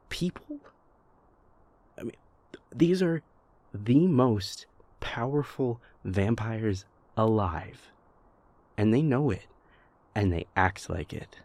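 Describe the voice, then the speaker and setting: Man acting a husky, halting vampire prince. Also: darkish tone, no echo, exaggerated voice. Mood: stressed, overwhelmed. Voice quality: husky, gravelly low voice. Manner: halting, stammering, repeats words, hesitant. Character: anxious, self-doubting male vampire prince confiding in his lover. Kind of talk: intimate audio roleplay monologue.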